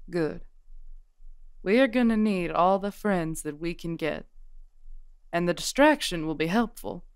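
Smooth, genteel witch leader played by a woman. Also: on the low side, expressive, a little slow, dry recording, clean studio sound. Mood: composed, commanding. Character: genteel, composed witch leader, politely commanding. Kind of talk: audio drama acting.

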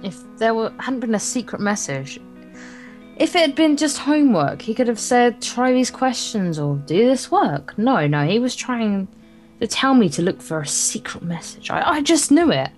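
A woman's voice: a little high, big pitch swings, moderate pace, a little mellow. A woman reading visual novel narration aloud as a let's play co-host, stumbling and correcting herself as she goes. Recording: guitar music behind. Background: game's guitar music.

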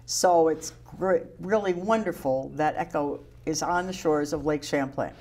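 Give me an older woman host with a low voice who speaks slowly and repeats words, recorded on a lapel mic. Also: a little mellow. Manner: slow, repeats words, halting restarts. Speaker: talk show host. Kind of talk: casual interview chat.

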